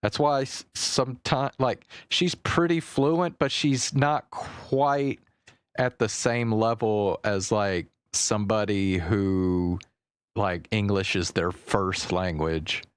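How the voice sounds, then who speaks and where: A low-voiced man talks slowly and hesitantly, filling gaps with likes and half-finished words. His voice is smooth and clear, with a dry, close sound. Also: steady tone, no echo. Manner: slow and hesitant, with many likes and restarts. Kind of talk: casual chat.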